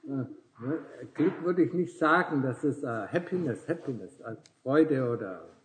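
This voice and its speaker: A man's voice: mid-range voice, animated, a little slow, low-quality recording. A man in his sixties talking casually as a Buddhist teacher with visitors, tagging nearly every phrase with a questioning "ne?" and chuckling often. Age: 60s.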